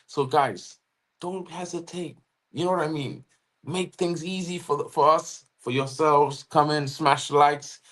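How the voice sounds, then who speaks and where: A man from Trinidad and Tobago with a Trinidadian accent, co-hosting a live football watchalong in casual chat, giving shout-outs to viewers and often saying "you know what I mean". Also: a little high, measured pace. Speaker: watchalong co-host.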